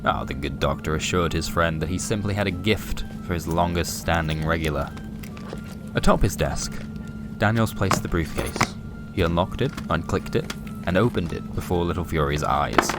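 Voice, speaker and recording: A man narrating scripted noir comic fiction in a deadpan, wry manner. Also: low voice, normal speed. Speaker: narrator and presenter. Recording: music behind the voice. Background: music.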